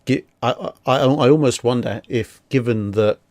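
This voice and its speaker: A man's voice: medium pitch, moderate pace, darkish tone, no room sound. A man chatting casually and analytically, rambling a little as he thinks aloud. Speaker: discussion guest.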